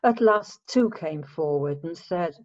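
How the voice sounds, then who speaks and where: A woman's voice: fairly low pitch, animated, measured pace, a little mellow. A woman narrating scripture from a script as part of a dramatic reading. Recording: muffled lo-fi home recording.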